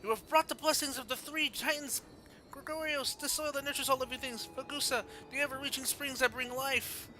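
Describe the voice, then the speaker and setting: A man's voice: very high voice, steady tone, brisk, bright, forceful tone, pitched above the performer's own voice, heavily acted, low-quality recording. A man voicing a zealous, pleading disciple in a theatrical, exclamatory manner for a fantasy game story. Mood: reverent, proclaiming. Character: zealous, pleading disciple crying out to an ancient wisdom tree.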